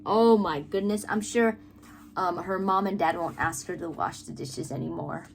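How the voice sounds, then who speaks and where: A woman reading children's poetry aloud with theatrical, deliberate phrasing and playful emphasis, adding asides as the reader. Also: lowish voice, vocal fry, slight background noise. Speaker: read-aloud host, teacher-like reader.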